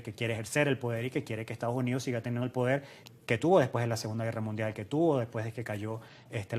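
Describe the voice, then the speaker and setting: A man speaking as an economist and interview guest, giving explanatory analysis and repeating phrases in lists for emphasis. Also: medium pitch.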